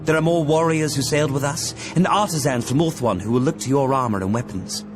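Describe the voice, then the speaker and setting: An actor playing a refined, loyal high elf envoy in a fantasy game cutscene, speaking formally and courteously. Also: male voice, a little fast, lightly acted. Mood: courteous, assured. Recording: loud music behind the voice.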